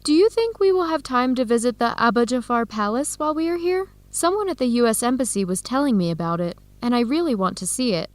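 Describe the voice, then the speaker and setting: An eager young woman in an educational radio drama, a considerate student with a fairly high voice. She speaks in a friendly, earnest way, in a dry, close studio sound. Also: very animated, fast talker, few pauses, dry recording. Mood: curious, friendly.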